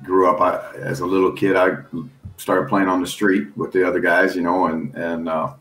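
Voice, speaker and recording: Deep-voiced middle-aged American man, roomy sound. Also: normal speed. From United States. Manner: animated, folksy, laughs while telling stories. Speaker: guest coach and analyst. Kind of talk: casual storytelling on a podcast.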